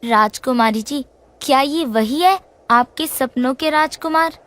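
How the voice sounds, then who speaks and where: A princess's young confidante in a fairy tale, high-voiced and caring. She coaxes and encourages, and her voice rings in a long hall reverb. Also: unhurried, fairly bright tone. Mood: excited. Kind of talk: animated fairy-tale voice acting.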